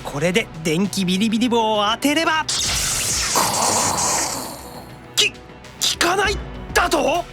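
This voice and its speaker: An animated young demon brother from an anime audio drama, irritable and easily flustered. He swings widely in pitch with exasperated, dramatic cries, over prominent music. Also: normal speed. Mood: worked up, shouting.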